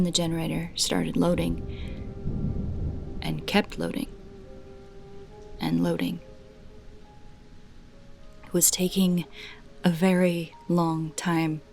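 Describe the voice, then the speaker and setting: A woman narrating a scripted first-person creepy story in a measured way, with dramatic pauses between short phrases. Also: fairly low pitch, animated, vocal fry, soft background music.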